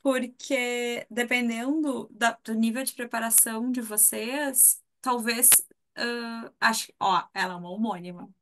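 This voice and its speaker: A Brazilian woman teacher hosting a live online class, playful and expressive, acting out little quotes as she explains. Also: on the high side, unhurried, background noise. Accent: Brazilian Portuguese. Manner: warm-hearted, playful, acts out quotes. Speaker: course teacher and host.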